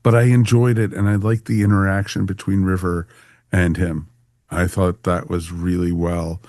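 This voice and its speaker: Low-voiced man chatting on a podcast. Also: flat delivery, moderate pace, darkish tone, dry recording, clean studio sound. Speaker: podcast host. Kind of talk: casual panel review chat.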